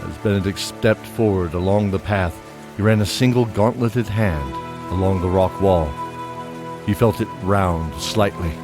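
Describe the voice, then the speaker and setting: Brief: male narrator for a fantasy audio drama, low and measured, soft music under. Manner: measured, descriptive storytelling. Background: faint music.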